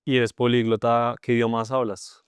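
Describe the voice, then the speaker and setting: A man interviewing a guest on a podcast, with a steady, flat tone and a smooth, clean voice. He asks measured, curious questions. The recording is close and dry. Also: mid-range voice, dry recording.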